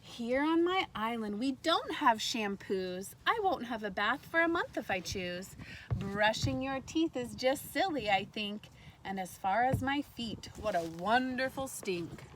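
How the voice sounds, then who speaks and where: A woman teacher reading a storybook aloud to kindergartners in an animated way, pausing to put questions to her listeners. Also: on the high side. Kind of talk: read-aloud lesson for young children. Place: outdoors in a backyard tree fort among trees. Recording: faint outdoor ambient noise.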